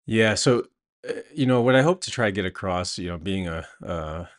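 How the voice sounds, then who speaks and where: A man answering interview questions conversationally as an author and host, thinking aloud haltingly with many a "you know". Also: low-pitched, lively intonation.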